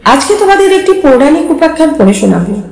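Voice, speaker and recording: A woman's voice: high-pitched, very animated, fast talker, creaky, a little mellow. A woman storyteller and channel host reading a scripted story aloud. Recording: echoey, long reverb, music underneath. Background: music.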